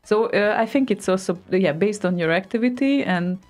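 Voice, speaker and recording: A woman's voice: medium pitch, lively intonation, moderate pace, a little mellow. A woman co-hosting a live internet radio show, chatting casually with hesitant fillers and frequent laughs. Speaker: radio co-host.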